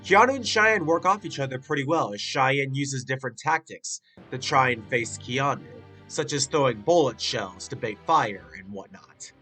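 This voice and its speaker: Bright-voiced, congested young man reviewing. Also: mid-range voice, lively intonation, moderate pace, few pauses, soft background music. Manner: chatty, with frequent "like" and "uh" fillers. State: stuffy, congested nose. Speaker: video reviewer. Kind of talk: casual spoken review.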